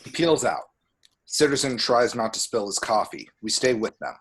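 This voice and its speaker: A man's voice: mid-range voice, expressive, moderate pace, a bit bright, husky. A man reading scene descriptions as the narrator of an amateur screenplay table read. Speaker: stage direction reader.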